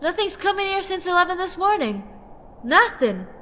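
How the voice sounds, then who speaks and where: A woman's voice: extremely high pitch, very animated, normal speed, bright, forceful tone, a little hiss or hum, exaggerated voice. A woman playing a skeptical, dismissive diner counter worker in an amateur radio play. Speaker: student radio play actor.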